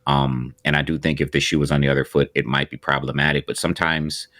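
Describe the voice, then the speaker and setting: A man's voice: a bass voice, little pitch movement, fast, a bit bright, no echo, studio quality. A man co-hosting a casual podcast discussion, talking animatedly with many restarts and repeated words. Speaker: podcast co-host.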